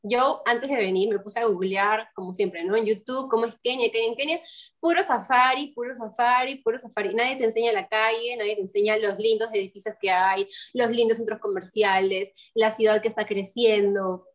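Young woman from peru, animated storyteller, casual interview chat, acts out quoted voices. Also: fairly high pitch, brisk.

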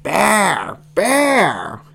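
Storybook baby creature, played by a woman: high, frantic, barking out words in short bursts. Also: wildly swooping pitch, drawn-out words, gravelly, breathy edge, heavily acted. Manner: shouting single words. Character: wild, excitable baby monster shouting in bursts.

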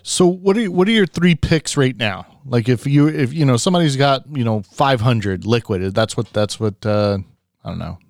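A man works as a podcast interviewer, chatting informally and encouragingly. His voice sits at an ordinary male pitch, recorded close and dry with no room sound. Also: expressive, moderate pace, gravelly, no echo. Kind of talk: casual chat.

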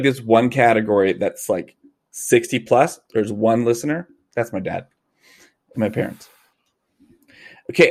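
Rambling Canadian-raised podcast co-host. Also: male voice, mid-range voice. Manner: rambling, self-correcting, laughs easily. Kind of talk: casual podcast chat.

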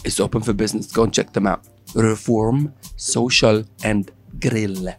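A man's voice: on the low side, steady tone, normal speed, over music. A man with an Italian accent hosting a daily show in a playful, joking way. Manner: playful, joking, jumps between topics. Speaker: show host. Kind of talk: lively daily show chat.

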